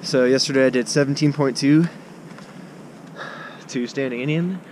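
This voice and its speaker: A man in his twenties gives a casual trail vlog in an ordinary mid-pitched voice. His delivery is halting and laid-back. He is recorded outdoors on an action camera, with clear wind noise around the voice. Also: darkish tone. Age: 20s. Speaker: vlogger hiking a long trail. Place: outdoors on a wooded mountain trail. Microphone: action camera held at arm's length. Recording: windy, noisy outdoor action-camera audio.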